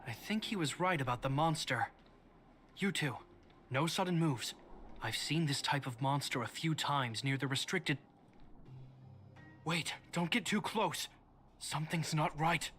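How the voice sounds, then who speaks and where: A man voicing a steady, cautious young guard captain in a video game, giving calm, measured commands. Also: on the high side, a little hiss or hum.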